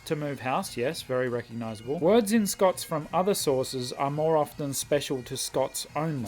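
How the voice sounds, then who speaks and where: A man with a fairly high voice reads lines from a book and stops often to comment on them. He is animated and expressive, swinging quickly between plain reading and surprised reactions, with faint music playing under his voice. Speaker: language vlogger.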